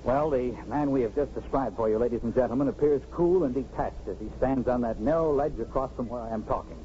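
Man playing dramatic news reporter, mellow tone, quick, florid commentary, vintage radio, faint noise. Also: medium pitch, close to an everyday voice. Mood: grave, excited. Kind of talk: 1950s live news commentary, acted. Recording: dull old radio recording, faint noise.

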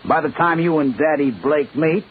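Actor as a slick pushy swindler, tinny old radio. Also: male voice, fairly high pitch, normal speed, a little hiss or hum, lightly acted. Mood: scheming. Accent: American. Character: slick, pushy small-time swindler, stocky man. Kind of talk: 1950s radio drama acting.

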